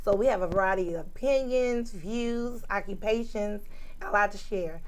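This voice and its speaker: A woman in her forties hosting a casual group podcast chat, upbeat and quick to laugh. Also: medium pitch, low-quality recording. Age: 40s. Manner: upbeat, laughs easily, exclamatory. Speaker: podcast host.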